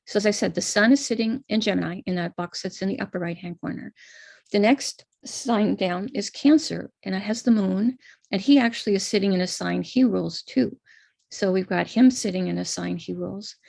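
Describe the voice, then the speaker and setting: An American woman with a gravelly edge of vocal fry talks patiently through a chart, one box at a time. Her voice is recorded dry and close on a computer mic for a live stream, with no room sound around it. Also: medium pitch, fairly quick, darkish tone, no room sound. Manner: patient, explanatory, walks through a chart step by step. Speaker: astrologer leading a ritual. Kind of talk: live-streamed ritual instruction.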